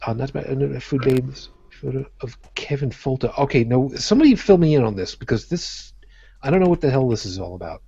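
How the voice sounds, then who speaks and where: A man's voice: mid-range voice, animated, brisk, creaky, dark tone, slightly rough, dull audio. A man from co-hosting a live show in casual chat, blunt and profane. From Brooklyn, New York. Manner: blunt, jokey, profane, with many restarts. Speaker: podcast co-host.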